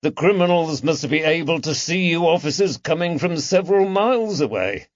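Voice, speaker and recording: A witty, restless shop owner in his thirties played by a male audiobook narrator. He speaks with urbane, teasing manners in a raised pitch, recorded dry and slightly dull. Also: expressive, moderate pace, dry recording, lightly acted.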